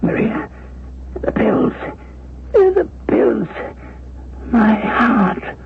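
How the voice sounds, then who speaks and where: An actor playing a frail, formal old gentleman with a creaky, halting voice in a 1970s radio drama. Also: high voice, sing-song, exaggerated voice. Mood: shaken, distressed. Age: older. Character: frail, heartsick old gentleman haunted by lost love. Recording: noisy, phone-like old radio broadcast recording.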